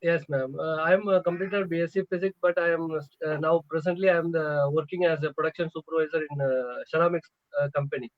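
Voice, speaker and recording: A man's voice: a little high, fairly even intonation, brisk, hardly any pauses, dry recording. A man in his thirties with a Tamil accent, a native Tamil speaker, practising English with a tutor on a video call, hesitant and polite with many fillers. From Tamil Nadu, India. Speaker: English learner. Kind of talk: online tutoring conversation. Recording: muffled lo-fi call audio.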